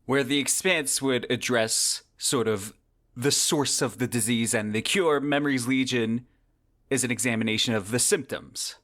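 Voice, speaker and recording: A male podcast host with a mid-pitched voice talks through a semi-scripted commentary. His delivery is conversational and wordy, and he leans on key phrases for emphasis. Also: moderate pace, a bit bright.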